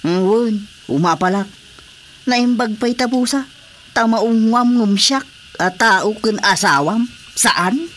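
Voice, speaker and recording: A man's voice: sits high, takes it slowly, fairly bright tone. A man playing a disgruntled, jealous husband who grumbles and complains in an Ilocano-accented radio drama comedy. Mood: bickering. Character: disgruntled husband jealous of his wife's cat. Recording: clearly noisy radio drama recording.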